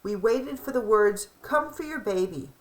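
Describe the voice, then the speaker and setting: Woman as an affectionate, animated old grandfather. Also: mid-range voice, unhurried, lightly acted. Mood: fond. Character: affectionate, proud old grandfather telling family memories.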